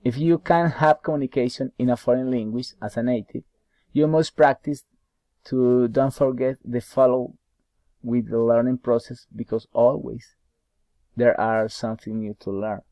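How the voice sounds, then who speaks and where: Halting Spanish-accented young man. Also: mid-range voice, fairly even intonation, mellow, no echo. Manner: halting, repeats words while searching for them. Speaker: university English student. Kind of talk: answering study questions aloud.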